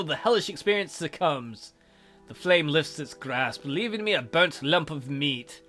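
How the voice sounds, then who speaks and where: A man hosting a let's play, reading a visual novel aloud in a theatrical, expressive way. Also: high voice. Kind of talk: dramatic read-aloud of a visual novel, with casual asides. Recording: music behind the voice.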